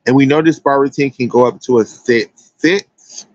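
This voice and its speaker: A man's voice: mid-range voice, moderate pace, dry recording, lo-fi sound. An American man giving casual, chatty sports commentary as a livestream host, emphatic and slangy.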